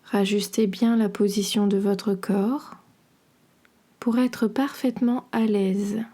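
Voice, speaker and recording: Slow, mellow woman guiding relaxation. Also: mid-range voice, steady tone. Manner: soothing, measured, instructional delivery. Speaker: podcast host and yoga nidra guide. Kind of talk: guided relaxation meditation.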